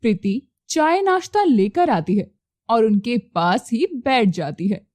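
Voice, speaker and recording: A woman narrating a scripted moral story as an expressive storyteller. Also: fairly high pitch, a little slow, no room sound.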